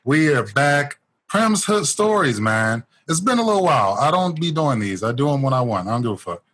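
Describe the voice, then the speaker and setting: A man with a Baltimore accent hosting a podcast, excitable and profane, laughing often. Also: mid-range voice, fairly quick. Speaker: podcast host. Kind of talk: casual podcast chat.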